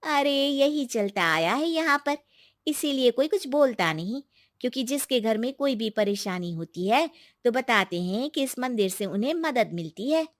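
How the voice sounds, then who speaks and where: A woman's voice: fairly high pitch, animated, moderate pace, dry recording, studio quality, natural voice. A woman voicing a kindly, secretive young village woman in a cartoon, speaking in an emphatic, reassuring, colloquial way. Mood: explaining. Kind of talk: Hindi moral-story cartoon dialogue.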